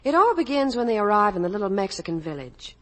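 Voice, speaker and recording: Woman, 1950s radio showbiz reporter, animated, scripted promotional read, average pitch. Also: fast. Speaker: Hollywood reporter.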